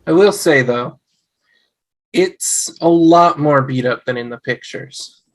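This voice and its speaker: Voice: medium pitch, slow. An actor playing a skeptical young husband with a dry, casual manner in a comedy script read.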